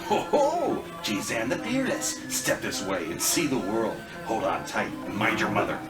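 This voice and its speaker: A man's voice: on the low side, big pitch swings, moderate pace. A man playing a grimy carnival clown in a motion comic, a teasing showman with theatrical, slightly eerie patter. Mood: teasing, showy. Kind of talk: dramatic motion comic acting. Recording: distant, echoey, under very loud music.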